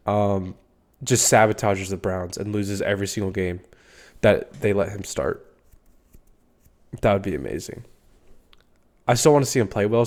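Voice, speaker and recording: A man's voice: lowish voice, a little mellow. Congested young man rambling about football. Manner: rambling, filler-heavy, swears casually. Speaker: podcast host. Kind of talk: casual solo sports talk.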